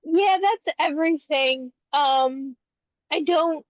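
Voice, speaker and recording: A woman's voice: high voice, takes it slowly, no room sound. A woman chatting casually as a podcast co-host, a little sniffly from a runny nose. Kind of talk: casual chat. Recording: slightly dull, lo-fi audio.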